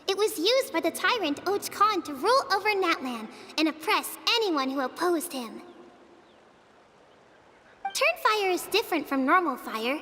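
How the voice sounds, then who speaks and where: A woman's voice: very high voice, bright, forceful tone, long reverb tail, full character voice. A woman voicing a young village girl in a video game, an eager storyteller with a childlike, earnest manner.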